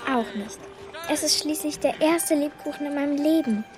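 A woman voicing a little orphan girl in a children's audio drama. She is spirited and determined, with a high voice over background music. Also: normal speed, close to an everyday voice. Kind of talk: children's radio play acting.

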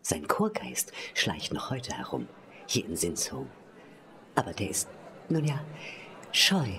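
Very low, swooping woman narrator. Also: huge pitch swings, slow pace, a crowd in the background. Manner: wry, musing, slipping into whispers. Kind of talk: theatrical scripted audio drama narration.

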